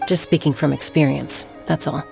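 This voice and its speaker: A woman's voice: low voice, fairly even intonation, normal speed, creaky, darkish tone, soft background music. An actress playing a cool, composed and knowledgeable young woman in a video game. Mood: calm, matter-of-fact.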